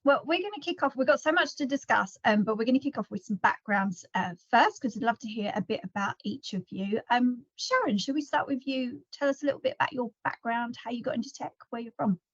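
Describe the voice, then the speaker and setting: An upbeat woman hosts a panel talk with a fairly high voice. She sounds close and dry, with no room around her. The line is dull and lo-fi. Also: fairly quick, few pauses, husky, dry recording. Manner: upbeat, welcoming. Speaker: podcast host.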